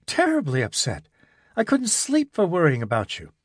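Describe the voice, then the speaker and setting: A man's voice: on the high side, huge pitch swings, normal speed, slightly rough, no echo, studio quality, pitched above the performer's own voice, exaggerated voice. A man voicing an anxious, wistful young woman in an audiobook reading.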